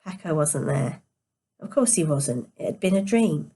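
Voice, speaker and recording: A woman narrates a novel in a slightly low register. Her voice is quiet and tired, as if sleepy. She reads carefully, now and then tripping on a word and picking it up again. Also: lively intonation, measured pace, slightly rough. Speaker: storyteller reading a novel aloud. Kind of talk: scripted story narration.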